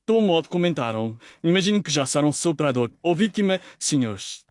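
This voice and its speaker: Humbled country landowner, played by a man, fairly high voice, earnest, formal, dry recording, audio mystery drama. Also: big pitch swings, brisk, dry recording.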